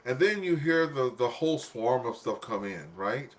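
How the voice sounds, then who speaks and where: A man's voice: mid-range voice, expressive, moderate pace. Male radio net host, casual and conversational, acts out little exchanges as he tells stories.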